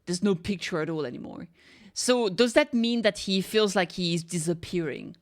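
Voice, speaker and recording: A woman hosts a casual reaction commentary in a fairly low voice. She thinks aloud as she speculates, working through ideas step by step. She often tags her points with a quick check for agreement. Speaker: reaction video host.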